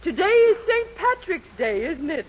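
An imperious American landlady of middle age in a 1940s radio sitcom. She is sharp and theatrical, with sweeping, lively pitch. The old recording is muffled and faintly hissy. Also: normal speed. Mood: stern, indignant. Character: imperious, melodramatic middle-aged landlady and wife.

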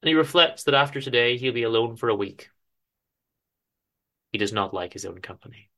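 A man giving a theatrical, emphatic dramatic reading of a story, with deliberate pauses. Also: mid-range voice, expressive, fairly bright tone. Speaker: host reading his show's story.

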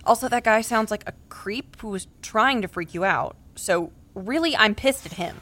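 A woman's voice: mid-range voice, expressive, normal speed, a bit bright. Woman acting blunt, caring best friend. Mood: indignant, supportive. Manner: direct, casual, insistent. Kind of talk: naturalistic audio drama acting.

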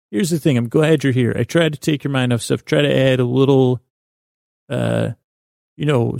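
Creaky-voiced man, mellow rambling sleep podcaster. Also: medium pitch, no echo, studio quality. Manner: meandering, halting, self-interrupting, digressive; whimsical, self-deprecating. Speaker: podcast host. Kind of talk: rambling bedtime monologue to help listeners sleep.

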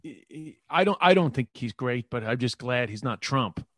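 A man's voice: mid-range voice, expressive, normal speed, no echo, studio quality. A man with a New York accent, a comedian talking casually in an interview and often restarting his sentences. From New York, Queens. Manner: rambling, repeats words and restarts. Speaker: comedian guest.

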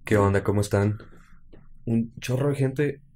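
A man's voice: on the low side, unhurried, a little mellow, slight background noise. A man with a Mexican accent co-hosting a casual live stream, joking with his partner and then narrating a true-crime case. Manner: joking, laughs often, uses slang. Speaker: podcast co-host.

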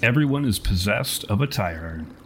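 A man's voice: on the low side, animated, brisk, fairly bright tone, slight background noise. A man narrating atmospherically as game master of a tabletop game, in formal, literary wording.

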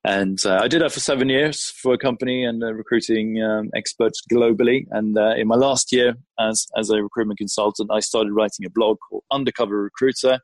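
Man from Sweden, guest on an interview podcast, fairly low voice, dry close recording. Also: steady tone, a little fast, few pauses, dry recording, poor audio. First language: Swedish. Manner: friendly, thoughtful, with frequent ums and restarts. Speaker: guest, employer brand consultant. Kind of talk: conversational interview answers.

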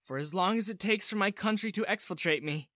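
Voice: mid-range voice, fairly quick, fairly bright tone, no room sound, studio quality, voice pushed up, natural voice. A narrator voicing an earnest, determined woman spy with an urgent manner, in storybook dialogue. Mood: businesslike, urgent. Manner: pleading, urgent, resolute. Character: earnest, determined female Russian spy seeking shelter. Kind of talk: audiobook-style character dialogue.

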